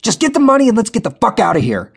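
Male reader doing a foul-mouthed, barking bank robber; lively, swinging pitch. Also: unhurried, husky. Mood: shouting. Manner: shouting, vulgar, commanding. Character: violent male bank robber yelling orders.

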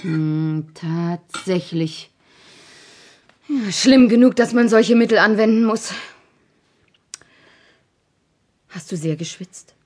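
A woman's voice: medium pitch, slow pace. A woman playing a strict but fond, moralizing aunt in a German children's audio drama. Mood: suspicious, probing. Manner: scolding, moralizing, expressive.

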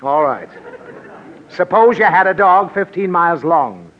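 Harried, lecturing dad, lively fairly high voice. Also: male voice, measured pace. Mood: exasperated. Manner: blustering, lecturing, easily flustered. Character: exasperated middle-aged father. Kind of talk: 1940s radio comedy acting. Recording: dull old radio broadcast, faint hiss.

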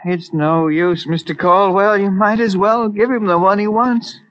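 A man's voice: high-pitched, fairly even intonation, measured pace, fairly bright tone. A man playing a meek, hesitant father and small shopkeeper in a 1950s radio drama, timid and resigned. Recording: dull vintage radio recording.

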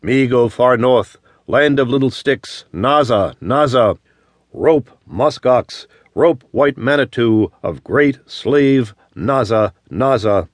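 A man's voice: mid-range voice, slow, dry recording. A man voicing a big, strong, good-natured old buffalo hunter who speaks earnestly in broken, simple phrases. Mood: earnest boasting. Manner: earnest, broken pidgin talk.

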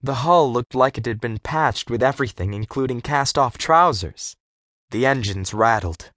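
A man with a medium-pitched voice tells a first-person adventure story. He reads expressively and articulates clearly, in a very dry studio sound suited to a young readers' audiobook. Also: expressive, normal speed, a bit bright, no room sound. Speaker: audiobook narrator.

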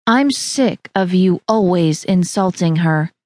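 A woman voicing a blunt, quick-tempered young bride who speaks curtly and snappishly. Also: on the low side, lively intonation, darkish tone, no echo, studio quality, voice pushed down. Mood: defiant. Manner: curt, snappish.

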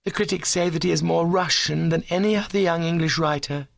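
A man's voice: a little high, fairly even intonation, fairly quick, gravelly, dry recording, studio quality, pitched above the performer's own voice. A man voicing an ambitious, haughty young woman with an earnest, prim, scornful manner in a comic story reading. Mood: lofty, scornful.

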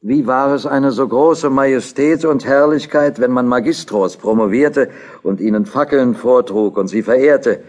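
An actor playing a devout, questioning young German student in a historical audio drama, speaking in an earnest, declamatory way. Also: male voice, mid-range voice, a little slow, darkish tone, close to an everyday voice. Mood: solemn, fond.

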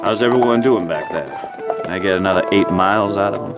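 An actor playing a gruff, commanding frontier colonel in a radio drama, speaking curtly and decisively. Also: male voice, deep voice, quick pace, over loud music. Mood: stern, resolute. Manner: curt, commanding.

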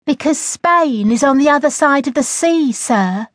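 A woman's voice: high voice, animated, a little slow, no echo, clean studio sound, pitched above the performer's own voice. A woman voicing a cheeky, mock-innocent schoolgirl, the class joker in a storybook. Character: cheeky joking schoolgirl playing the class clown.

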